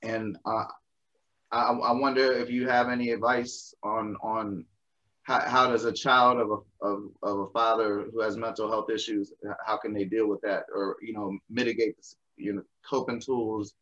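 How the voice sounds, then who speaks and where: A man's voice: fairly low pitch, little pitch movement, moderate pace, sharp tone. A man hosting a casual interview, informal and slangy, stammering over repeated words, on slightly dull audio. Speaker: interview host.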